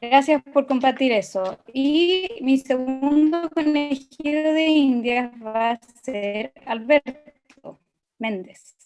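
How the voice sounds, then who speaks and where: A woman's voice: sits high, slow, darkish tone, soft background music. A woman with a Chilean Spanish accent giving an informal, friendly and chatty online class to participants on a video call. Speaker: presenter of an online masterclass. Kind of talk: informal interactive online lecture.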